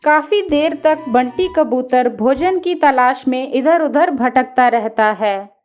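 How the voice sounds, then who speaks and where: High, slow-paced woman storyteller. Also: faint music behind. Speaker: narrator. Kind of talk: scripted children's story narration.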